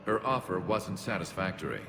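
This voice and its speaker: A man playing a wary, weary alien refugee leader in a video game, speaking in a grave, measured and formal way. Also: deep voice, expressive, background noise. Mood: wary, frustrated. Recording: music behind the voice.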